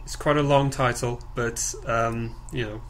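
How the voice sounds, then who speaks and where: A man informally presenting to a group on a conference call, haltingly and with repeated words and phrases. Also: mid-range voice, steady tone. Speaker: project coordinator leading a group call. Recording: clearly noisy conference call recording.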